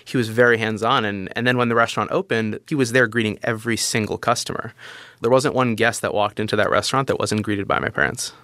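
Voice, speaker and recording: A man from New York City, French as his first language, answers interview questions in a fluent, warm way. His low voice is bright and crisp, recorded very dry and close. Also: steady tone, fast, no echo. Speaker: guest, restaurant founder.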